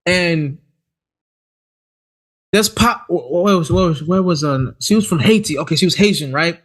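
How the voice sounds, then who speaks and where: A man's voice: on the high side, normal speed. A man co-hosting a podcast, chatting casually and animatedly, often restarting his sentences. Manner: animated, frequent restarts and stammers. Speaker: podcast co-host. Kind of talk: casual chat.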